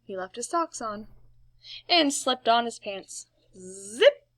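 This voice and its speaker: Female children's storybook reader: very lively intonation, slow pace, playful and expressive, close dry sound. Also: on the high side, no room sound. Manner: playful, emphatic sound words. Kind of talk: expressive read-aloud storytelling for young children.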